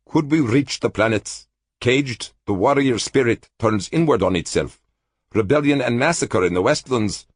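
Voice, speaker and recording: A man's voice: mid-range voice, expressive, moderate pace, dry recording, clean studio sound, pitched above the performer's own voice, lightly acted. Man doing a fervent pleading stranger. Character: earnest pleading man of a caged world. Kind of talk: audiobook character voice.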